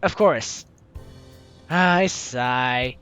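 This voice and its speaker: A woman's voice: extremely low pitch, drawn-out words, fairly bright tone, smooth, no room sound, soft background music. A young woman reading a visual novel aloud as a gaming host, with theatrical, teasing asides. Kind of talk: animated let's-play read-aloud and commentary.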